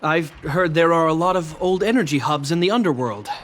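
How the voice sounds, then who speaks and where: A man's voice: fairly high pitch, animated, fairly quick, fairly bright tone. A man playing a dutiful younger-brother guard captain in a video game, speaking in a formal, reserved and sincere way. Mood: awkward, sincere.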